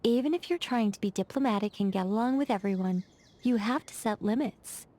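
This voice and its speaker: A woman's voice: medium pitch, brisk, dark tone, close to an everyday voice. An actress voicing a poised, playful female mage in a game, talking in a wry, teasing, chatty way. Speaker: game character. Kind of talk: game voice lines.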